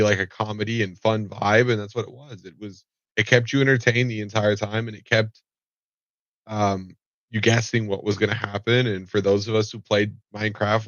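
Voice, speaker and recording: Low, nasal man rambling on a dull mic. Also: no echo. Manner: rambling with many ums and restarts, swears casually. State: nasal from allergies, slight cough. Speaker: commentary vlogger. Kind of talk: casual reaction commentary. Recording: dull, lo-fi home recording.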